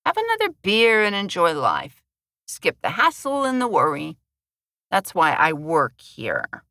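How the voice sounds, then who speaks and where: A woman's voice: on the low side, wildly swooping pitch, measured pace, sharp tone, no echo, full character voice. A woman voicing a bitter, sarcastic woman bartender who talks in a sing-song lilt.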